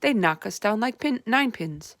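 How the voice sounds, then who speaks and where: A woman's voice: medium pitch, animated, moderate pace, no echo, studio quality, pitched above the performer's own voice. A woman voicing a hot-tempered male army general in a storybook read-aloud. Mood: blustering. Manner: brusque, shouting, impatient.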